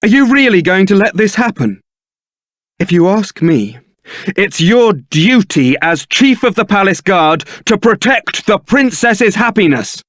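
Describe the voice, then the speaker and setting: A man's voice: sits high, very animated, a little slow, fairly bright tone, no echo, heavily acted. A man playing a suave, sardonic young templar knight and roguish ladies' man in a fantasy video game, with a dry, theatrical delivery. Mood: indignant.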